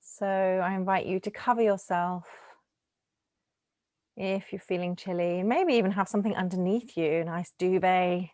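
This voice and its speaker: Low-pitched woman guiding relaxation, close and dry. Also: steady tone, no echo. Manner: gentle, soothing, unhurried with lingering pauses. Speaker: yoga nidra teacher. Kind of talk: guided relaxation meditation.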